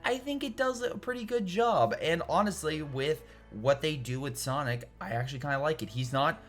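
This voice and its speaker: A man's voice: fairly high pitch, expressive, normal speed. Casual male film reviewer over music. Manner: informal, emphatic, rambling with repeated qualifiers. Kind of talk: casual opinionated video review. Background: background music.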